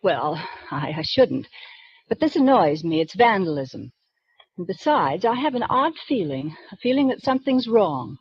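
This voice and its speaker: An actress playing a prim, reserved librarian who speaks in a precise, proper way, in 1940s radio acting. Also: female voice, medium pitch, big pitch swings, normal speed. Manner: precise, proper, rule-bound speech. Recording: dull dry 1940s radio broadcast recording.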